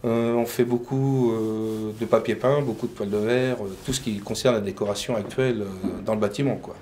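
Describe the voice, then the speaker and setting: Hesitant French painter-decorator, faint hiss. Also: male voice, on the low side, steady tone, moderate pace, warm tone. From France. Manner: hesitant, many fillers. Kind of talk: interview.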